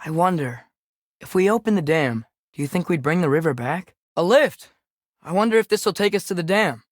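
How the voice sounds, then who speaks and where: An earnest American teenage boy, a curious game companion with a fairly high voice. He talks casually and youthfully, often questioning and suggesting, in a close, dry recording. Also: lively intonation, normal speed, no echo. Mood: excited. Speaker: video game companion character. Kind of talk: scripted game dialogue, conversational.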